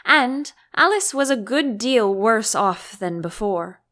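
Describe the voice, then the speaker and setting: A woman's voice: on the high side, expressive, slow, fairly bright tone. A woman reading a storybook aloud as an audiobook narrator.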